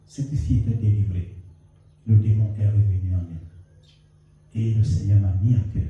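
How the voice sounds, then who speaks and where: A male pastor with a cold, preaching a sermon and telling a story with lively rising and falling emphasis. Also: mid-range voice, lo-fi sound. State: has a cold. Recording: echoey hall, mic at a distance.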